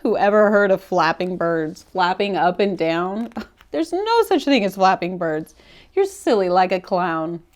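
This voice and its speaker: A woman's voice: fairly low pitch, lively intonation, a little slow. A woman voicing a scornful, gleeful bird who jeers at others in a children's storybook. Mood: gleeful mocking. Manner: teasing, jeering. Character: mocking flock of birds, scornful and gleeful.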